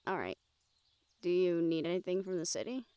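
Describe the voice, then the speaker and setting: A woman's voice: on the low side, expressive, moderate pace, dark tone, smooth, dry recording, close to an everyday voice. A woman playing an easygoing, helpful young travelling companion in a scripted audio roleplay, friendly and practical.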